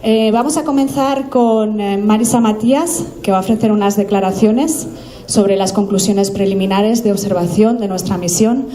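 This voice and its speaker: A woman serves as press officer, opening a press conference and introducing the speakers in turn. Her voice is mellow and mid-pitched, and her delivery is measured and formal with small hesitations.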